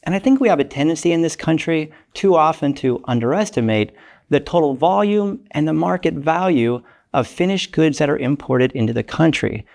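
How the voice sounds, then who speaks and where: An older man, an economics professor, giving a measured, formal, lecture-like explanation. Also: on the high side, lively intonation, gravelly, darkish tone. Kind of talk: prepared explanatory talk.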